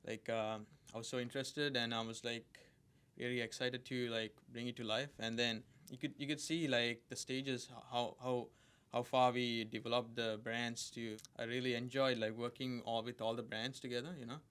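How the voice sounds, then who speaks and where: A man's voice: mid-range voice, flat delivery, moderate pace, a bit bright, smooth. A young man from Sri Lanka answering interview questions hesitantly, with many likes and uhs. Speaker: lead designer, guest.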